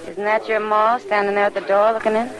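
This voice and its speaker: Calm frontier lawman, played by a man. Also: high-pitched, quick pace, close to an everyday voice. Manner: calm and firm. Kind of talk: 1950s radio drama acting. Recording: old radio drama with loud crowd noise.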